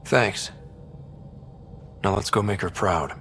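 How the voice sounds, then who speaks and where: A man's voice: sits low, slow pace, fairly bright tone, soft background music, heavily acted. An actor playing an easygoing young male space explorer in a sci-fi game, with a casual, dry, understated delivery. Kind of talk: naturalistic sci-fi game acting.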